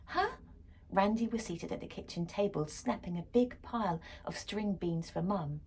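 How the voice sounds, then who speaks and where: A woman narrates a children's story in a fairly low, breathy, husky voice. Her reading is dramatic and suspenseful, moving between hushed tones and firm emphasis. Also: moderate pace. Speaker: story reader.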